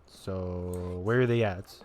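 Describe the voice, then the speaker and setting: Casual, lowish-voiced male game streamer. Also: steady tone, slow, a little mellow, slight background noise. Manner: rambling, informal. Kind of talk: casual let's play commentary.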